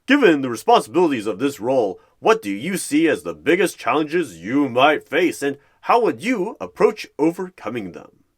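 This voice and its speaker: A man's voice: on the high side, sing-song, normal speed, pitched above the performer's own voice. Man playing an awkward recruiter with swooping voice. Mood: formal, emphatic. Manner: formal, scripted interview questioning with emphatic swings. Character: awkward, earnest male recruiter conducting a job interview. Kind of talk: ASMR roleplay.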